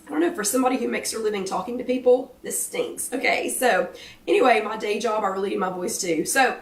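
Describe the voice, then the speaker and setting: Woman, American accent, hoarse worn-out voice, chatty livestream host, self-deprecating, roomy echo, distant mic. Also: medium pitch, quick pace. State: hoarse, failing voice. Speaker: host of a live weight-loss chat. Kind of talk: casual livestream chat greeting viewers.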